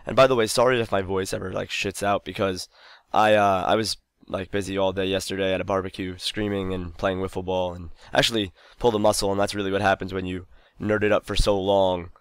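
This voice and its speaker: A young man talks loosely over his own gameplay, drifting between thoughts with plenty of fillers and false starts. His voice sits very low with a gravelly, fried edge, tired and wearing thin. The sound is dry and close, with no room around it. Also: flat delivery, fairly quick, no room sound. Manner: rambling, loose, lots of fillers and restarts. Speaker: gaming channel host. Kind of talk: casual gameplay commentary.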